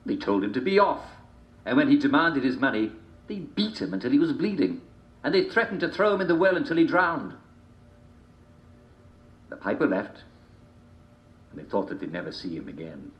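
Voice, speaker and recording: Theatrical male storyteller, widely swinging pitch. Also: sing-song. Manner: theatrical, deliberate, with repeated phrases and dramatic pauses. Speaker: storyteller reading a folk tale. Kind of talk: dramatic fairy tale storytelling. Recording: dull, roomy, echoing home recording.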